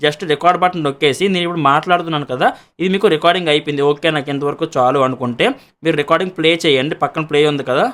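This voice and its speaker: A man's voice: on the high side, steady tone, racing, fairly bright tone. A man with a Telugu accent gives a casual product demonstration as a reviewer and seller, explaining repetitively and mixing in English words.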